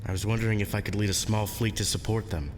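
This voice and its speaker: Guy doing earnest space pilot officer, audio drama, lowish, bit hesitant, big reverb and faint noise. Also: steady tone, fast, lightly acted. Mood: tentative. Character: loyal, pleading male military pilot-general. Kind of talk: fan audio drama acting.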